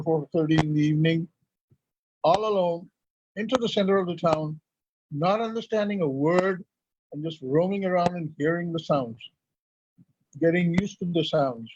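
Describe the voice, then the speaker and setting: A man's voice: fairly high pitch, a little mellow, slight background noise. A man from India whose first language is Urdu, telling stories as a guest in a conversational interview. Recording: lo-fi, dull sound.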